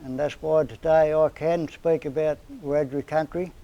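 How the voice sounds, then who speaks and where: A man in his seventies from northern New South Wales with an Australian accent, telling stories of his life in a relaxed, plain-spoken way during an interview. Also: a little high, normal speed, dull audio. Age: 70s. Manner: plain-spoken, rambling storyteller with everyday slang. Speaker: interviewee, community elder. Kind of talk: storytelling in casual conversation.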